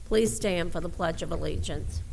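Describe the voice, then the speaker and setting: A woman chairing a city council meeting in a brisk, procedural way. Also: lowish voice, creaky, noisy background. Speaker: presiding council official.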